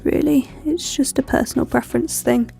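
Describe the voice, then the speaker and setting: A woman's voice: high voice, fairly even intonation, normal speed, gravelly, mellow, soft background music. Chatty woman with a cold. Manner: rambling, self-deprecating, lots of ums. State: stuffy from a cold. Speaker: planner vlogger. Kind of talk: chatty plan-with-me narration.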